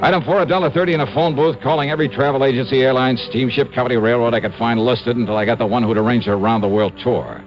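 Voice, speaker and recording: An actor playing a wry, tough male insurance investigator in a 1950s radio drama, dry narration and brisk talk. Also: medium pitch, close to an everyday voice. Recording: dull old radio broadcast with faint music.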